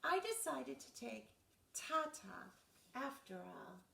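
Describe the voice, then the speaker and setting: A woman's voice: sits high, sing-song, slow, gravelly, bright, forceful tone, voice pushed up, heavily acted. A woman voicing an eager, pestering young boy at a sleepover in a storybook, with insistent, exaggerated questions. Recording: roomy echo, distant mic.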